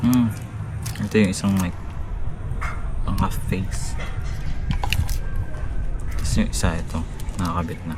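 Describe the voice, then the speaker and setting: Male Filipino vlogger, lower register, informal tutorial delivery, heavy outdoor noise with roosters and vehicles. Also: measured pace, long pauses. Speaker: vlogger giving a product installation tutorial. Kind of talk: casual hands-on tutorial talk.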